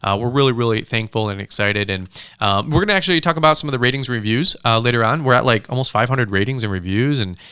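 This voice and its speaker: A man's voice: medium pitch, quick pace, sharp tone, clean tone, no echo. A man hosting a podcast in a studio, chatting casually in a rambling, informal, bro-ish way on a close microphone. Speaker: podcast host. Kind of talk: casual upbeat podcast chat.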